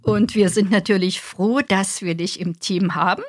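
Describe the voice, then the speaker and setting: A woman reads the news and hosts a radio show in a studio. Her tone is clear and warm. The sound is close and dry, with her voice at a middle pitch. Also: steady tone, normal speed, no echo. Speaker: radio news reader and presenter. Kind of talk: read news bulletin and friendly interview.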